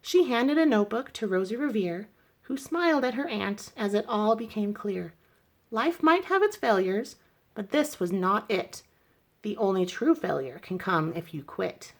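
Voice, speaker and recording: A woman reading a rhyming children's story aloud in an expressive narrating style. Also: medium pitch, unhurried. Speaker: storybook reader.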